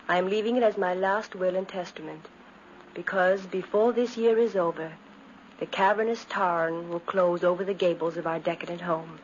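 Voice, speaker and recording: An actress playing a dutiful young cousin and fiancée in a 1950s radio drama, solemn and measured. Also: female voice, lowish voice, close to an everyday voice. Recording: muffled 1950s radio recording, faint noise.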